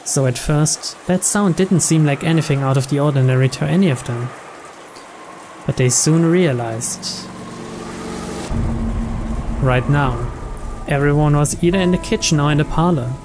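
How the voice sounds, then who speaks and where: A man's voice: on the high side, normal speed, pauses often, background noise. A man reading visual novel narration aloud for a game playthrough. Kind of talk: scripted narration read aloud.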